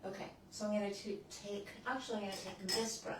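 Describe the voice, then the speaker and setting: A woman's voice: medium pitch, fairly even intonation, fast, recorded from a distance. A woman in her sixties walks through her makeup routine in a loose, chatty way, often breaking off mid-sentence as she works. Her voice sits back from the mic in a strongly echoing room, with a faint hiss underneath. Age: 60s. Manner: chatty, restarts sentences, talks while applying makeup. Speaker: beauty channel host. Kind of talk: casual makeup tutorial.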